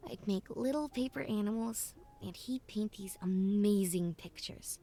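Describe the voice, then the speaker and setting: A woman playing a thoughtful, wistful young student leaving home, narrating a game's story in a gentle, reflective storybook style. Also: mid-range voice, a little slow, natural voice. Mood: wistful, sad. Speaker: narrator and protagonist of a puzzle adventure game. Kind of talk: scripted first-person storybook narration. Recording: music behind the voice. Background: background music.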